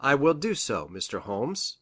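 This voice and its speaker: An earnest Victorian country doctor, acted by a man, seeking counsel in a detective story. He talks in a formal, deliberate way at a middling pitch, with an anxious gravity. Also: very animated, pushed and bright, breathy edge, full character voice. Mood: formal, questioning. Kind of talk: dramatised reading of a Victorian detective novel.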